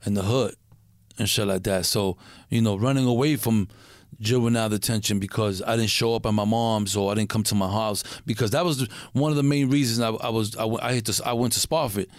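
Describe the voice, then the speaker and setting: A middle-aged man from Puerto Rico, a guest in a casual interview, who talks in a halting way, repeating words and using street slang. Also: lowish voice, steady tone, dry recording, clean studio sound.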